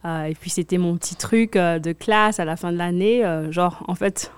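A young woman from Africa, a hip-hop artist, chatting backstage in an interview, relaxed, with plenty of fillers and restarts. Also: on the low side, moderate pace, smooth, no room sound.

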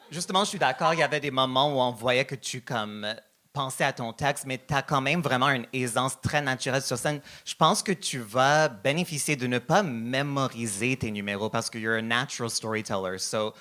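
A male-sounding voice, bright and mid-pitched, gives casual feedback as a guest comedy judge. The manner is encouraging, and the talk sometimes switches into English. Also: normal speed.

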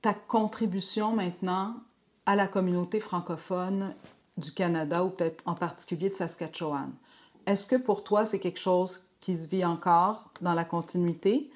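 A woman with a fairly low voice hosts an interview. She asks chatty, rambling questions and keeps affirming what her guest says. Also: fairly even intonation, normal speed. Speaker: podcast host, interviewer. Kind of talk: interview conversation.

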